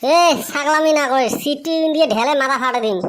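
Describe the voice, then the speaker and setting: A woman's voice: sits high, a little fast, a little hiss or hum, natural voice. A woman voicing a cheeky, complaining village youngster who talks back in a comic cartoon. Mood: irritated.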